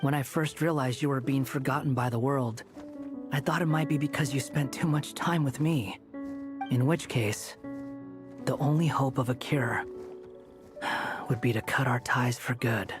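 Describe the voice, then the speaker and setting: A man's voice: medium pitch, steady tone, unhurried, close to an everyday voice. A man playing a brooding, guarded young wanderer in a video game, dry and matter-of-fact. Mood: grave, resolute. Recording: game audio with music underneath. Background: game music.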